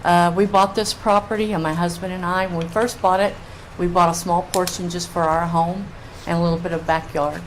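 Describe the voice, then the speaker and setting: A woman's voice: lowish voice, level tone, fairly quick, hardly any pauses, rough recording. An older woman giving informal public testimony as a resident. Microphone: public comment microphone. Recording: faint room noise on meeting mic.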